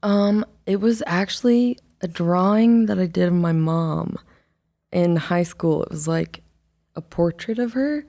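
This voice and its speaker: A young woman, an artist, talking casually in an interview, rambling and halting with many fillers and restarts. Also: fairly low pitch, mellow. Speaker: album artist, interview guest. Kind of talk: casual conversation.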